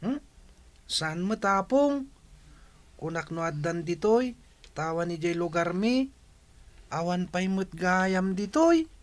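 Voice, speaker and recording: A woman's voice: lowish voice, expressive, takes it slowly, long pauses. A woman acting a helpful, naive and respectful village youngster in a radio drama, with an Ilocano accent and a lilting, inquisitive manner. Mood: curious, helpful.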